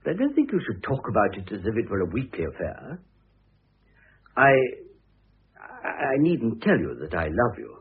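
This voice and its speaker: An actor playing an earnest, persistent middle-aged suitor in a classic radio play, formal and slightly hesitant. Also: male voice, fairly low pitch, huge pitch swings, normal speed, long pauses. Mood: indignant, insistent. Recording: muffled, phone-like old radio recording.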